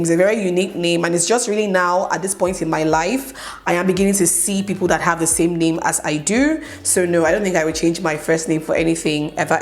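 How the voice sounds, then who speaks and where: A young Nigerian woman with a Nigerian accent, chatting casually as she answers viewer questions in a home vlog and often adds "okay?". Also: on the low side. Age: young adult. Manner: chatty, emphatic, keeps adding "okay?". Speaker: vlogger. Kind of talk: get-to-know-me Q&A vlog.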